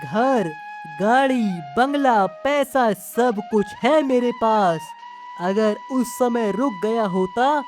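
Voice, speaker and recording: Woman voicing a boastful, slow-talking rich son. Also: fairly high pitch, pitched above the performer's own voice. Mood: bitter, boastful. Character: boastful, resentful grown son who got rich in the city. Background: music.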